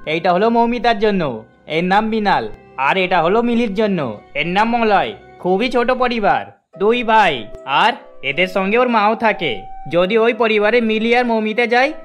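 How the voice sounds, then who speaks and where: A man's voice: high voice, animated, a little slow, a bit bright, soft background music. An actor playing a middle-aged, good-natured father in a cartoon, talking in an earnest, coaxing way. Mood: pleased, persuasive. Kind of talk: cartoon story character acting.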